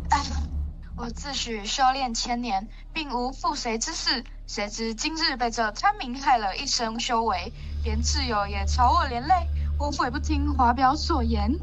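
A woman's voice: fairly high pitch, moderate pace, lo-fi sound, natural voice. A woman playing a proud, scholarly thousand-year-old fox spirit in human form in a radio play, with haughty, literary speech. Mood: regretful. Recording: music loud behind the voice.

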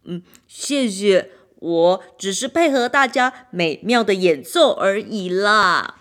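A woman's voice: mid-range voice, lively intonation, slow pace. A woman voicing a humble, friendly drum. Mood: modest. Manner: modest and warm.

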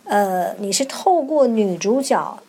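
A woman's voice: medium pitch, animated, slow pace, darkish tone, husky. A woman hosting a radio interview in a conversational style. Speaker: radio programme host.

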